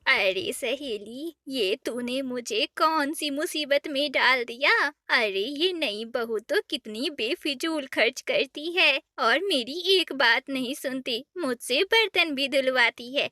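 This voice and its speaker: A woman's voice: high voice, slow, hardly any pauses, bright, forceful tone, dry recording, studio quality, exaggerated voice. A woman voicing a harsh, scolding older female bird, a mother-in-law in a cartoon. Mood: complaining. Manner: scolding, bossy.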